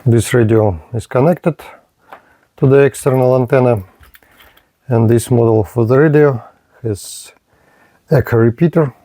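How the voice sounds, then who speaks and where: Slow-talking man narrating a radio test. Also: mid-range voice, mellow, no room sound. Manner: halting short phrases, repetitive. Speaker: radio hobbyist presenting a range test. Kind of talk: simple step-by-step vlog narration.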